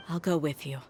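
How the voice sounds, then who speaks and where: A tough young woman mercenary from a video game, loyal and independent. Her voice is low, firm and plain-spoken, with faint ambience behind it. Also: noisy background.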